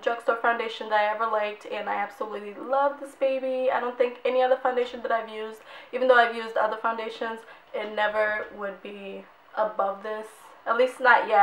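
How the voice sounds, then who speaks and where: A young woman with a slightly high voice, hoarse and on the edge of losing it, chatting casually through a beauty product review. She rambles and doubles up words for emphasis, and her voice rings in an echoey room at home. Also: fairly bright tone, dull audio. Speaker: beauty vlogger.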